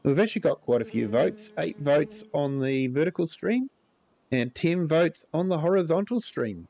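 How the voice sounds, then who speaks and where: A man with a New Zealand accent hosting a live gameplay stream, chatting with viewers in a relaxed way, his voice a little croaky from a cold. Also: a little high, normal speed, low-quality recording. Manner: relaxed, chatty, greets viewers by name, many ums. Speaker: livestream host.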